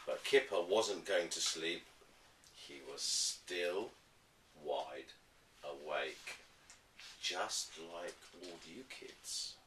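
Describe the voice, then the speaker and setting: A man reading a bedtime story to children as host, in an expressive style that speaks directly to the young listeners. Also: low voice, extremely slow, slight background noise. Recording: echoey room, distant from the microphone.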